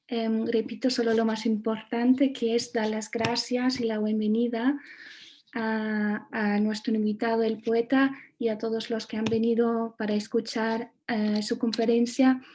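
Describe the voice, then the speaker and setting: A woman moderating an online lecture and translating, measured and slightly hesitant. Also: fairly high pitch, dark tone, slight background noise. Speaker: interpreter and moderator.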